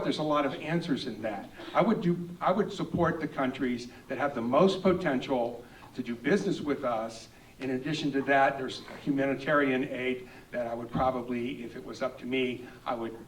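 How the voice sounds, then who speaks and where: A man's voice: mid-range voice, slight background noise. A man who is a congressional candidate, answering at a forum in a businesslike way, laying out his points by number. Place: event hall. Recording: echoey hall from far off.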